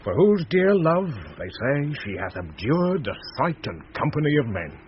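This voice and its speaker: A man's voice: a little high, big pitch swings, a little slow. An actor playing a loyal, hearty sea captain telling his news in a radio Shakespeare play. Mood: informative, gossipy. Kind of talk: 1970s BBC radio Shakespeare acting. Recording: dull, noisy vintage radio recording.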